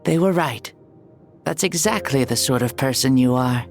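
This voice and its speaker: A woman playing a proud, magnanimous ancient warrior leader in a video game, speaking in a formal, measured way. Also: a bass voice, lively intonation, soft background music. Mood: gentle, reverent. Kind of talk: video game character acting.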